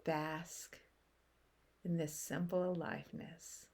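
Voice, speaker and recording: Very slow, low-pitched woman guiding meditation. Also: little pitch movement, a little mellow. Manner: soothing, unhurried, with long pauses between short phrases. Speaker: meditation guide. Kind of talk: guided meditation narration.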